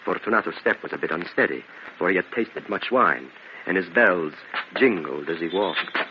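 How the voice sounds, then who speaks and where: A man's voice: deep voice, animated, moderate pace. An actor playing a vengeful, grieving and slyly courteous nobleman in an old-time radio gothic drama. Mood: brooding, resolute. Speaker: narrator and lead actor. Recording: muffled, noisy old radio recording.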